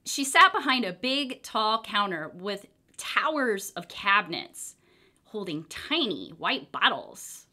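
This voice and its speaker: Bright, animated woman reading a storybook. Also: mid-range voice, slow. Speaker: storybook reader. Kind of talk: animated children's book reading.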